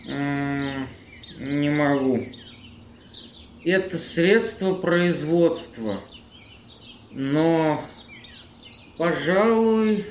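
A man's voice: on the high side, very slow, pauses often. An actor playing a dignified homeless man with a pompous, formal and businesslike manner in an amateur audio play. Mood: lecturing, haggling. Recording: roomy echo, noisy birdsong ambience.

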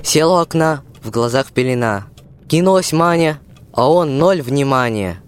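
A man's voice: medium pitch, expressive, measured pace, clean tone, natural voice. A male performer playing a wry narrator who tells a radio fairy tale in folksy rhyme. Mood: wry storytelling. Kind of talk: folk jester storytelling in rhyme.

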